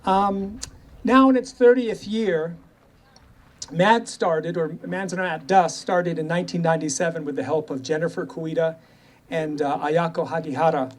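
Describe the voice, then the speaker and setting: A man's voice: a little high, steady tone, normal speed. An older man co-hosting an event, giving informal thanks to the people involved. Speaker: event co-host. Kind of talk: informal thank-you speech. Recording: live event, faint background noise. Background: faint ambient noise.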